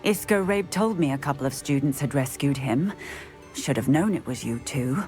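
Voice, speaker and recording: A woman's voice: rumbling low, animated, moderate pace, a little mellow, faint music behind. A woman voicing a firm, authoritative female officer in a video game cutscene.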